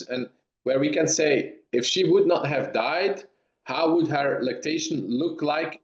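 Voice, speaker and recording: Halting Belgian man on a roomy call. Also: fairly high pitch. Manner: halting, many restarts and ums. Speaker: dairy science professor. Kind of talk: webinar Q&A answers. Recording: roomy, dull lo-fi sound.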